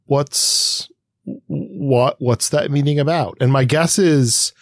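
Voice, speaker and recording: A man co-hosting a literary podcast, musing aloud in a halting, conversational way and reworking his thoughts as he speaks. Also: medium pitch, no echo, studio quality. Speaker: podcast co-host. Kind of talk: conversational literary discussion of a comic.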